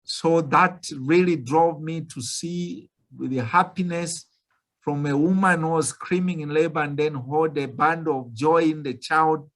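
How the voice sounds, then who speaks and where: A man in his fifties from Zambia, an obstetrician speaking slowly and earnestly as a panelist on an online video call. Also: on the high side, steady tone, fairly bright tone. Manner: slow, measured, earnest.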